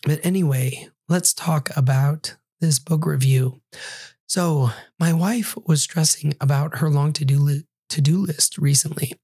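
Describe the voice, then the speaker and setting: A man hosting a solo podcast, reviewing a book in an earnest, reflective way while hoarse and recovering from losing his voice, some words breathy and half-whispered. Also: on the high side, steady tone, unhurried, warm tone, no echo, clean studio sound. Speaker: podcast host.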